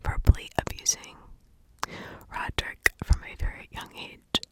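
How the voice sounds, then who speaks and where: A woman speaks entirely in a whisper, in the style of ASMR storytelling. Her delivery is slow and hushed. She leaves deliberate gaps between short phrases as she narrates. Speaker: ASMR true crime storyteller.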